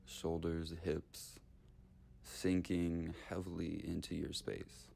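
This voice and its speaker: A man leading a guided meditation in short, unhurried, soothing phrases with long gaps between them. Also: low voice, flat delivery, creaky, warm tone, slight background noise.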